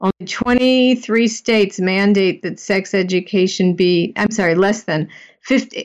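A woman speaking earnestly and emphatically in a conversational chat, often saying "you know". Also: mid-range voice.

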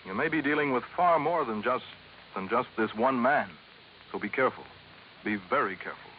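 A man plays a formal, grave insurance executive in vintage radio drama. His voice is mid-pitched and deliberate. It comes through slightly muffled, with faint background noise. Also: lightly acted. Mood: grave, concerned. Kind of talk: 1950s radio acting.

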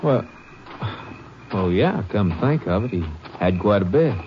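A man's voice: lowish voice, animated, a little slow. An actor playing an easygoing, obliging sawmill foreman in a 1940s radio western, folksy and a bit hesitant. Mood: affable. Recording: dull, noisy old radio recording.

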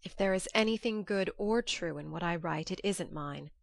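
A woman's voice: fairly low pitch, normal speed, gravelly, no room sound. A woman voicing an earnest, self-doubting young woman in an audiobook, with an emphatic, plainspoken delivery. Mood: humbly moved. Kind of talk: audiobook character reading.

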